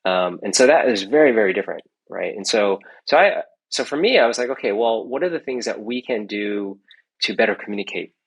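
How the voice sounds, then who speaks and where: A gravelly, somewhat husky man in middle age talks through a casual interview. His voice sits on the low side, and he rambles along, restarting phrases and tagging on "right?" as he goes. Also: animated, a little fast, rough recording. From United States. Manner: rambling with many restarts and tag questions. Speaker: entrepreneur guest.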